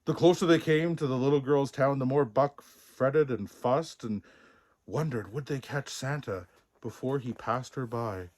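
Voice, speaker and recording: A man narrating a children's story as a storybook reader, expressive, playful and theatrical, relishing the sound words. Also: medium pitch, unhurried. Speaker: storybook reader in a Santa persona.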